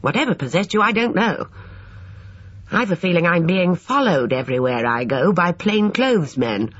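A narrator voicing a charming, scheming, suspicious English gentleman who talks glibly and complains, in an audiobook. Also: mid-range voice, animated, normal speed, dry recording, muffled recording, voice pushed up. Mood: irritated.

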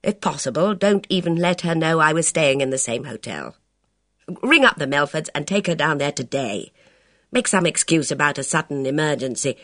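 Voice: mid-range voice, animated, no room sound, clean studio sound. A reader voicing a bold, reckless middle-aged woman who speaks sharply and commandingly. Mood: imperious. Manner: brisk, imperious, sharp.

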